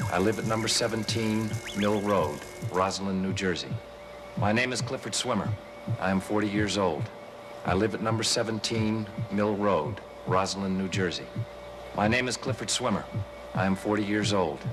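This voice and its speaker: A man's voice: lowish voice, close to an everyday voice, poor audio. An actor playing a bitter, wisecracking man in his forties who is fed up with his life, in a 1970s TV movie. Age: 40s. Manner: wisecracking, sarcastic, exasperated. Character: bitter, sardonic middle-aged man in therapy.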